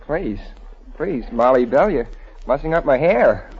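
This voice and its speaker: A man's voice: mid-range voice, lively intonation, a little slow, a faint crowd, a little hiss or hum, lightly acted. An actor playing a bashful, skinny American college boy in an old-time radio comedy, earnest, awkward and stammering. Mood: nervous. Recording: dull vintage radio recording with audience.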